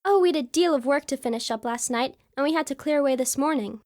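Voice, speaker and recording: A woman plays a fond young daughter home for the holiday in a radio play. Her voice is high and eager, and she speaks with an affectionate warmth. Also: quick pace, lightly acted. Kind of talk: student radio drama acting.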